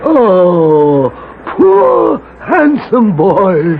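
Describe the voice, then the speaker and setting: A sly, commanding dwarfish demon in a 1970s radio play. The voice is very high and slow, relishing each theatrical, tempting phrase. It comes through a thin, noisy old broadcast recording. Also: male voice, very animated, gravelly, narrow, tinny audio, full character voice. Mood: gleeful. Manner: theatrical, commanding, cackling, archaic speech. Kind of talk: 1970s radio drama acting.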